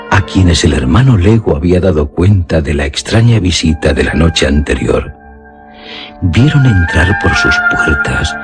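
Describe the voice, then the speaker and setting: A man narrating a literary radio drama in a solemn, theatrical, emphatic manner. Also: low voice. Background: music.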